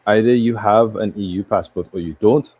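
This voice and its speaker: Male co-host, deep and dark, laid-back stream banter, muffled lo-fi sound. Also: fairly quick, slightly rough. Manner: laid-back, thinks aloud with restarts and chuckles. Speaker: co-host of a live football watch-along stream. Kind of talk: casual chat. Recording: dull lo-fi stream audio.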